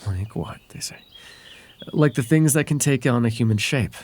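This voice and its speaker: A man playing a charming, courteous and flirtatious fae traveler in an ASMR roleplay, speaking in a gentle, playful, slightly hesitant way. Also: medium pitch, a little slow, lightly acted. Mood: teasing, mysterious.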